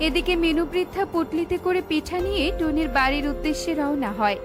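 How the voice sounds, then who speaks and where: Woman narrator, high voice, scripted children's story, prominent background music.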